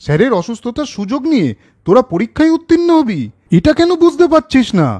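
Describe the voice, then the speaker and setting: A man voicing a caring, principled older brother in a children's cartoon story, questioning and gently lecturing. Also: very high-pitched, very animated, fairly quick, exaggerated voice. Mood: stern, reproving.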